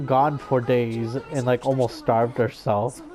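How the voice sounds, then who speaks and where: A man's voice: medium pitch, level tone, slow, music in the background. A young man giving casual, rambling game commentary as a streamer, swearing freely and talking in slang. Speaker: gaming streamer.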